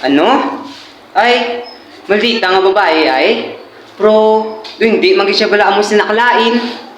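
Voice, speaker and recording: A woman's voice: mid-range voice, measured pace, slight background noise, natural voice. A woman with a Filipino Hiligaynon accent playing a chatty, caring, teasing household co-worker in an amateur radio drama, informal and nagging. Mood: gossipy, teasing. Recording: echoey room with music.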